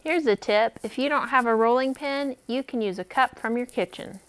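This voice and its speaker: Woman, thirties, fairly high voice, kids' cooking host, encouraging, step-by-step demonstration, simple phrasing. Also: moderate pace, a bit bright. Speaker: library cooking program host for kids.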